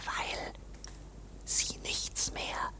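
A woman's voice: drawn-out words, bright, forceful tone. An actress in a German radio play playing an embittered, scornful female court underling, with dramatic delivery that often drops to a whisper. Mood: conspiratorial.

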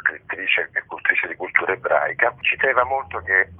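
Deep husky man on phone. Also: animated, moderate pace. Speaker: interview guest, theatre collaborator. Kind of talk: radio interview, explanatory talk. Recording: muffled phone line on radio.

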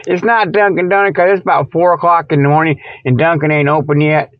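A man with a slightly high voice rambles through a comedic radio monologue in a dry, close sound. He is folksy and digressive, stammering and chuckling at his own jokes. The recording is dull and lo-fi. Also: fairly even intonation, quick pace, dry recording. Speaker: radio show host.

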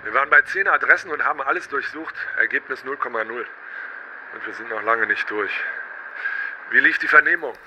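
A man's voice: medium pitch, fairly quick, natural voice. Curt, weary male detective in noisy ambience, acted. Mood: frustrated. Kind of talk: German radio crime drama acting.

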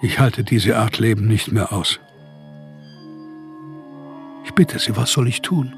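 A man's voice: on the low side, slow pace, soft background music. An actor playing a despairing, exhausted writer in exile, reading his letters in a radio play with weary, heavy diction.

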